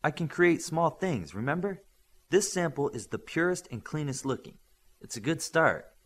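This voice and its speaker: A man reading the part of an excitable, confident, creative girl with powers in an audiobook, eager and bossy. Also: fairly high pitch, moderate pace, voice pushed up.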